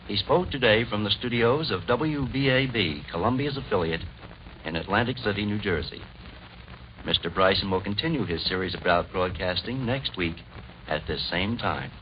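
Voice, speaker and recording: A man's voice: fairly low pitch, animated, moderate pace. An American-accented man reading a scripted announcement as a 1940s radio network announcer. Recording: dull, noisy 1940s radio recording.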